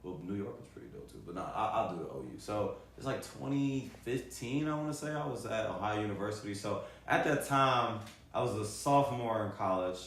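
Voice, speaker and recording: A man's voice: on the low side, expressive, a little fast, few pauses, fairly bright tone. An American man co-hosting a podcast, chatting and telling stories in an informal, slangy way. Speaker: podcast co-host. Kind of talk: casual chat and storytelling. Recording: very echoey room, faint noise.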